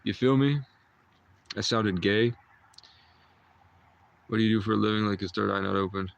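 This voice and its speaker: A man's voice: fairly low pitch, normal speed, vocal fry. A man in his twenties, a livestream host, chatting and rambling with viewers in a meandering, mystical way, full of restarts and short chuckles. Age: 20s.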